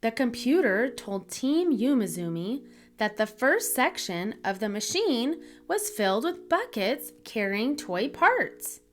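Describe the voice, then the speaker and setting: A woman reading a children's story aloud in an expressive, deliberate storytelling manner for young listeners. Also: on the high side. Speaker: children's book reader, channel host.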